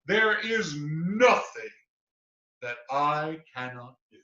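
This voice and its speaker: A man voicing a big, boastful female bear in a storybook tale, booming and laughing heartily. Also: animated, slow, pushed and bright, heavily acted. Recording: roomy, slightly dull recording.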